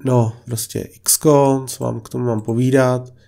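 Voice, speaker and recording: Chatty Czech man, flat dark voice. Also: medium pitch, gravelly. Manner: chatty, heavy filler words, blunt opinions. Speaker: car vlogger. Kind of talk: casual car listing commentary.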